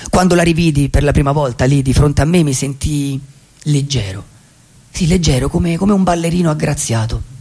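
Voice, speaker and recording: A man playing a wistful, poetic vagabond dreamer in a radio theatre monologue, reflective and husky, with deliberate pauses. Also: a little high, a little mellow. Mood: nostalgic, tender. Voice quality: husky, gravelly. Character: wistful, poetic male vagabond dreamer who loves cinema.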